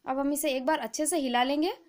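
A woman hosting a cooking channel, giving a calm, patient, step-by-step recipe voice-over. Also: high-pitched, fast. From India.

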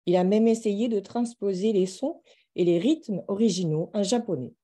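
A woman's voice: mid-range voice, a little fast, warm tone. A middle-aged woman giving a formal, scripted introduction as a seminar moderator on a video call.

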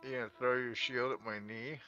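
Slightly muffled, lo-fi audio carries a man's mid-pitched voice. He banters jokingly as a player in a tabletop game, with faint music behind him. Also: fairly even intonation, fairly quick, pushed and bright. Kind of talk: casual banter while playing.

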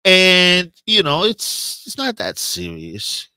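A man's voice: high-pitched, huge pitch swings, takes it slowly, sharp tone, clean tone, no echo. A man who is a guest on a podcast, joking, teasing and laughing as he talks. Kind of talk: casual round-table chat. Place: podcast studio with several people at a table. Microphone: studio mic on an arm.

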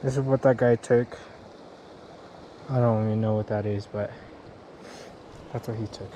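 A young man casually talking to viewers while fishing at a mountain lake, speaking quietly and admiring the fish, recorded on a body-mounted action camera. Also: lowish voice, steady tone, normal speed, lo-fi sound. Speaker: fishing vlogger. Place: outdoors by a mountain lake and stream. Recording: outdoor action camera with water noise. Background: water and wind.

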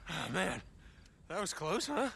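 A man's voice: sits high, wildly swooping pitch, measured pace, vocal fry, noisy background, exaggerated voice. An actor playing a cocky, stubborn treasure hunter in his thirties in a video game cutscene, with an American accent and a casual, wisecracking delivery. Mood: defensive, exasperated.

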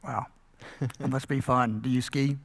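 A man's voice: mid-range voice, fairly even intonation, a little slow. A middle-aged man moderating an informal question and answer session as the interviewer on stage. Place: on stage in a church auditorium. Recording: echoey hall with long reverb.

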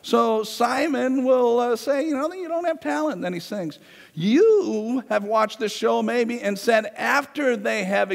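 Hoarse high-voiced pastor in a reverberant church. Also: male voice. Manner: conversational preaching, pacing the stage. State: losing his voice. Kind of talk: sermon. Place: large church sanctuary, indoors. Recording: long reverb of a church hall.

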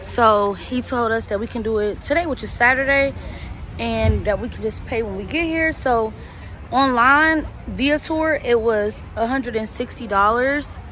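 A young woman vlogging on a trip, talking straight to her viewers in a slangy, chatty way, her voice still a bit hoarse. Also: on the high side, a little slow, fairly bright tone. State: recovering hoarse voice. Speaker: travel vlogger. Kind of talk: casual vlog talk to camera.

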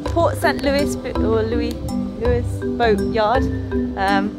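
A woman, chatting excitedly on a travel vlog outdoors by the coast. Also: on the high side, slow pace, over loud music. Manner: chatty, excited, thinking aloud. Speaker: travel vlogger. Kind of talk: casual travel vlog chat. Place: outdoors on a windy beach and harbor.

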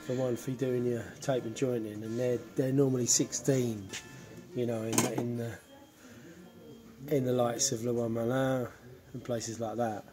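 A man's voice: medium pitch, level tone, unhurried, poor audio. A man in his fifties chatting casually while shopping in a big warehouse store. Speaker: renovation vlogger. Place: inside a large DIY warehouse store. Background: music.

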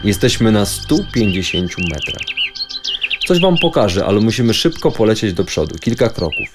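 Casting: calm, instructive meditation guide for a radio play, lowish voice, measured philosophical delivery, prominent music underneath. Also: male voice. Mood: guiding. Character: calm male guide leading a dreamy levitation exercise. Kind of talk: scripted guided-meditation audio play narration.

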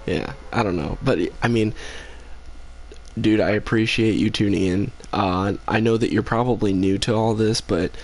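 A sleepy young man talks to his stream chat in a low, gravelly voice. He speaks slowly and rambles between fillers, clearly tired late at night. The sound is a casual home desk mic. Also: steady tone, poor audio. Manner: rambling, laid-back, many fillers, reads chat aloud. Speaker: game streamer. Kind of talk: casual live-stream chat while gaming.

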